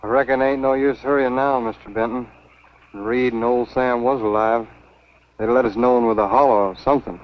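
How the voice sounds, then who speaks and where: Casting: male actor as ominous Southern backwoodsman, mid-pitched, laconic, vintage monster film. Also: fairly even intonation, brisk, close to an everyday voice. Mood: grim, uneasy. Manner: folksy, laconic. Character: folksy, ominous backwoods swamp man. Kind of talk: 1950s B-movie acting. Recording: dull 1950s film soundtrack.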